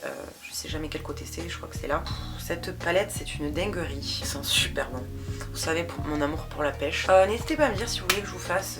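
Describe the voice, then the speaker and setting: A young woman chatting casually through a beauty product review, hesitant and full of fillers. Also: on the low side. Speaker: beauty vlogger. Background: background music.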